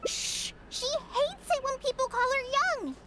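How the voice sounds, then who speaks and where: Timid, high-voiced teenage girl. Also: measured pace, very noisy. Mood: hushed, earnest. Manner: hesitant, nervous. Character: anxious, timid teenage girl trainee, earnest. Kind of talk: video game voice acting. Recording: game music behind the voice.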